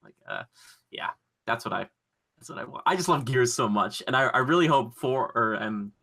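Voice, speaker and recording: Male podcast host, bright and punchy, excitable, rambling, gushing, conversational. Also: medium pitch, normal speed, creaky. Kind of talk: casual enthusiastic pop culture podcast chat.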